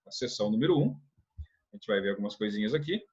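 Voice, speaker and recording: A man's voice: fairly low pitch, expressive, quick pace, muffled recording. A man from teaching a church lesson, earnest, asking rhetorical questions and often adding a tag question. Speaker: religious instructor.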